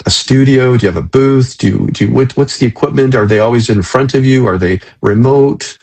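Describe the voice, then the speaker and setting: An interviewer speaks casually with a husky, rough-edged voice. The questions come short and conversational, in a medium pitch. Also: a little fast, darkish tone.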